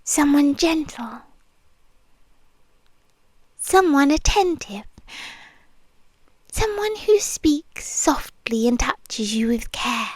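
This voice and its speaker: A woman's voice: sits high, animated, no room sound, rough recording. A woman playing a whispering possessive nurse. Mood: coaxing. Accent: British. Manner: slow, soft, intimate whispering close to the ear. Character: possessive female nurse, soft and controlling. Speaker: ASMR roleplay performer. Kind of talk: whispered ASMR roleplay.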